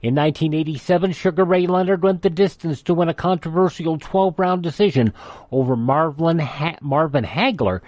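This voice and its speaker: A man's voice: a little high, a little fast, darkish tone, no echo. A man hosting a radio show, reading scripted on-this-day history items.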